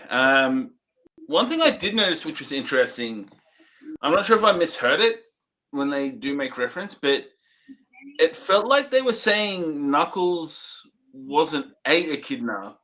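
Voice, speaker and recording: A man's voice: fairly high pitch, pushed and bright. A man co-hosting a casual movie review, giving loose, hesitant opinions with frequent ums and restarts. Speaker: co-host.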